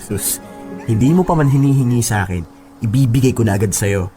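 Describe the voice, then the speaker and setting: A man's voice: medium pitch, animated, fairly quick, natural voice. A man playing a sweet-talking, devoted young fiancé in a romantic radio drama, tender and earnest. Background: music bed.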